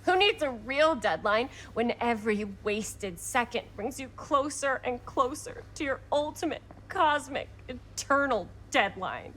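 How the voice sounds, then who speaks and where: High-pitched, theatrical young woman. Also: expressive, a little slow, few pauses, a bit bright, slight background noise. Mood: exasperated. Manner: theatrical, exasperated, teasing, slangy. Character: dramatic, sarcastic, excitable young woman, amateur filmmaker. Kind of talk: naturalistic video game cutscene acting.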